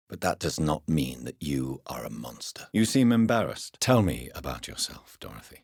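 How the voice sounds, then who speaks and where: Grave vampire doctor, English accent, very deep, husky, formal, expressive intonation, video game dialogue. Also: male voice, no echo, clean studio sound, full character voice. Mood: solemn, questioning. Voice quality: low husky voice. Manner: formal, measured, earnest phrasing. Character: grave, educated gentleman doctor turned vampire, early 20th century.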